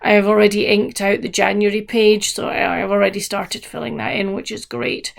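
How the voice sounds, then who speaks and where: A woman chats to camera in a relaxed, wandering way about her week, her voice croaky with a gravelly fry at the ends of her phrases. She talks softly and trails off, sitting at a middle pitch for a woman. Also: normal speed. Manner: chatty, meandering, soft-spoken. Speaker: book vlogger. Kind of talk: casual chat to camera.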